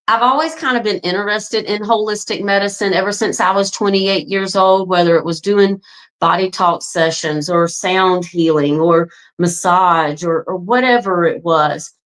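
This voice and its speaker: A woman's voice: lowish voice, low-quality recording. Forties woman, wellness coach type, earnest and chatty, addresses folks directly, filler-heavy. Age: 40s. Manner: frequent ums and "right?" tags. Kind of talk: casual direct-to-camera promo talk.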